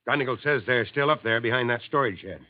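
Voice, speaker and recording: A man plays a steady, fatherly fire chief in a 1950s radio drama, speaking with calm, kindly authority. The voice sits mid-range and sounds thin and tinny, as if heard over an old telephone line. The sound is completely dry. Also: mid-range voice, fast talker, no room sound, natural voice.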